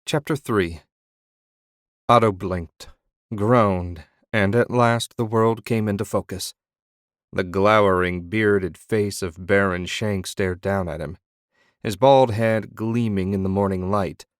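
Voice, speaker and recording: A man narrating a fantasy audiobook in measured storytelling. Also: on the low side, fairly even intonation, clear, resonant tone, no room sound, clean studio sound.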